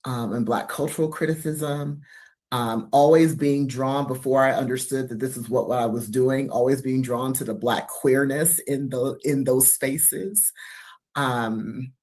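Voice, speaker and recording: A woman from New York City speaking on a university panel, halting and reflective with many fillers. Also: a bass voice, steady tone, darkish tone. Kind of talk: panel talk at a university event.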